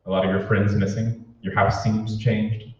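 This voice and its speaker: A man's voice: lowish voice, little pitch movement, normal speed, lightly acted. A man playing an anxious, furtive conspirator in an old-time radio drama, with a hesitant, secretive delivery. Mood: urgent, conspiratorial. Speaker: amateur stage actor. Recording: roomy, distant, dull hall recording with hiss.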